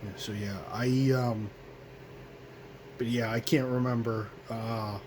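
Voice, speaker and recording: A hoarse man in his twenties from the United States hosting his own radio show, speaking in a halting, earnest way full of ums and restarts. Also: mid-range voice, steady tone, noisy background. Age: 20s. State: hoarse, coughing. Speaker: host of a home radio show. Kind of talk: solemn remembrance monologue.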